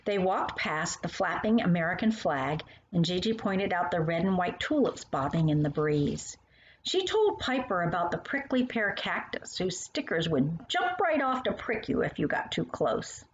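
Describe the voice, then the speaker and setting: A woman's voice: fairly low pitch, very animated, moderate pace. A woman reading her own storybook aloud for young children, addressing the little listeners directly. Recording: dull lo-fi sound with faint noise.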